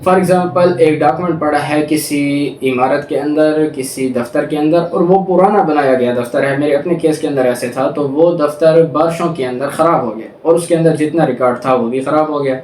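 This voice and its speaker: A man's voice: a little high, moderate pace, darkish tone. A man with a Pakistani accent giving an explanatory law lecture, reading out provisions and restating them in a didactic way. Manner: didactic, repeats and rephrases key points. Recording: roomy, distant mic with background noise.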